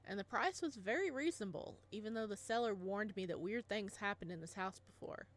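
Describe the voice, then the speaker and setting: A woman voicing a gentle, doting father, narrating softly and tenderly in a visual novel. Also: mid-range voice, lively intonation, fairly quick, close to an everyday voice. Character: gentle doting father, a modest physics teacher.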